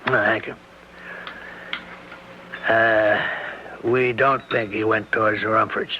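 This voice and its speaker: An actor playing a folksy, plain-spoken small-town sheriff who quietly probes, in a radio mystery drama. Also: male voice, fairly low pitch, little pitch movement. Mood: casually probing. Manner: plain-spoken, rural phrasing, deliberate. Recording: dull lo-fi radio recording with hiss.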